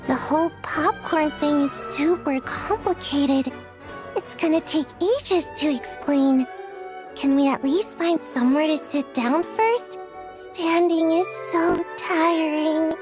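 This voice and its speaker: Anime game witch kid: high-pitched, self-serious, studious, stubborn. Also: slow pace, music in the background. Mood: uneasy, grumbling. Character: bookish young witch girl, stubborn, proud, self-serious. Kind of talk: anime-style video game character acting.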